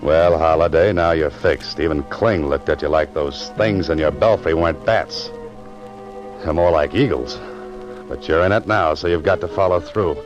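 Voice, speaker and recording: Casting: wry 1940s radio sleuth, deep-pitched, tough clipped delivery, dull old-broadcast sound. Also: male voice, normal speed, over music. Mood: brooding narration. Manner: clipped, sardonic, hard-boiled. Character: wry, tough-talking male amateur sleuth. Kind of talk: 1940s radio detective acting and narration. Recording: muffled vintage 1940s radio recording.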